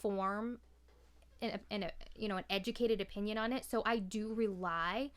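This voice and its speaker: A woman in casual conversation, speaking candidly and haltingly, with a self-effacing manner. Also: medium pitch.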